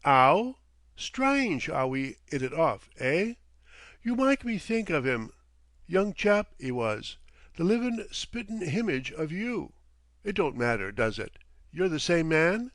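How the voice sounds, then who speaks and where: A coarse, jeering Cockney sea captain acted out by a male audiobook reader, pitched up and swooping with sarcasm. He drops his aitches and needles his listener with gruff, mocking relish. The sound is close and dry. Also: big pitch swings, slow pace, a bit bright, dry recording, heavily acted. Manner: dropped aitches, needling, sardonic teasing. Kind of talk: audiobook character voice.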